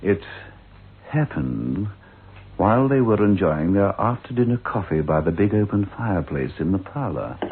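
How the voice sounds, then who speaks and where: An actor playing a grave, wry storyteller, narrating a radio ghost drama in a deliberate, theatrical way. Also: male voice, deep voice, very animated, a little hiss or hum. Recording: tinny radio recording with music underneath.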